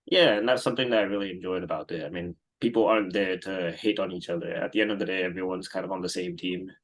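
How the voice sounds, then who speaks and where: This is a man in his thirties, originally from Singapore, talking as an interview guest. His voice sits very low. He rambles at an easy pace, with plenty of ums and restarts. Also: fast talker. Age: 30s. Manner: relaxed, rambling, many fillers and restarts. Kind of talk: casual interview answers.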